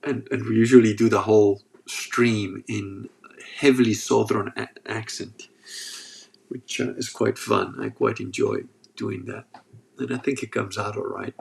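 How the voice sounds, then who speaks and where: A man's voice: on the low side, steady tone, a little slow, gravelly, darkish tone. A man with a sore throat hosting a casual livestream, reading chat and joking as he rambles. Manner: rambling, joking, restarts often.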